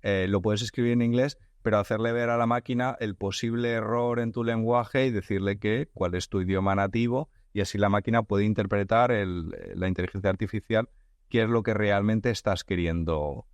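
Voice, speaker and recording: Low-voiced man interviewing. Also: fairly even intonation, moderate pace, no room sound. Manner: thinks aloud, frequent restarts and repeated words. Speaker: podcast host. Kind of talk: conversational interview.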